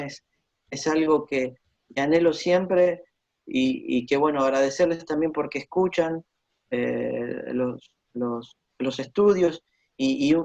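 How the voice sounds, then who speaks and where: Man, bit high voice, stumbles n repeats, muffled lo-fi audio. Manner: hesitant, repeats words, earnest. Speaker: Bible teacher. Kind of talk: greetings and closing prayer. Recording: dull lo-fi sound.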